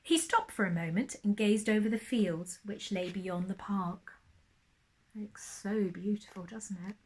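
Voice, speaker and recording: A woman narrating a children's storybook like a primary school teacher, expressive and lingering on key words. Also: medium pitch, measured pace, breathy edge.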